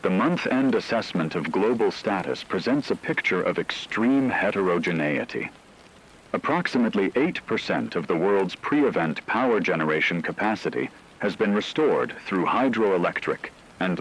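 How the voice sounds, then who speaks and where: A man playing a grave radio announcer, reading a formal scripted disaster briefing in a measured, solemn, technical manner. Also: sits low, fairly bright tone, husky, exaggerated voice, poor audio. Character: grave male radio announcer delivering disaster status reports. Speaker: broadcast announcer.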